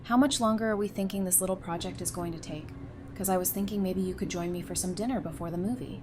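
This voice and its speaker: Woman playing a fast-talking, teasing wife. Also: fairly low pitch, darkish tone, slight background noise, natural voice. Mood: relaxed, fond. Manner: brisk, casual, playful. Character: friendly, teasing wife and mother, easygoing. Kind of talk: fan audio drama acting.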